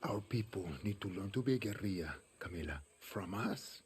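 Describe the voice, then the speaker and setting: A man performs a hardened older guerrilla leader. His low voice is curt and profane, and it ranges widely. Clear noise runs behind him in the game mix. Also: animated, moderate pace, close to an everyday voice. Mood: gruff. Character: gruff older male guerrilla leader, distrustful, blunt.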